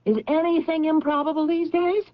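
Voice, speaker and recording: An actress playing an anxious career woman convinced of a plot against her, halting and agitated, in radio drama style. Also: very high voice, flat delivery, dry recording. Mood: insistent, agitated. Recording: dull, band-limited old radio recording.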